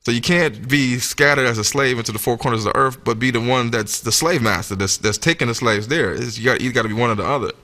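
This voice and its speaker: A man's voice: mid-range voice, quick pace, few pauses, sharp tone. A man giving a sermon-like religious lecture, speaking in an emphatic, teacherly way. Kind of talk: sermon-like lecture with scripture reading. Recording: echoey hall with long reverb.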